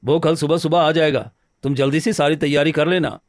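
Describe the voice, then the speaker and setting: Homely cartoon woodcutter, male actor, eager and reassuring, slightly high pitch, very dry sound. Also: fairly even intonation, a little fast, dry recording, lightly acted. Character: good-natured, eager married woodcutter, a simple villager. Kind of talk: Hindi cartoon story dialogue.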